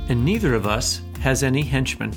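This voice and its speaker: A man hosting a podcast with a mid-pitched voice. He talks casually and colloquially, with a wry, teasing edge, moving between banter and introductions. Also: animated, a little fast, vocal fry, soft background music. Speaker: podcast co-host and novelist.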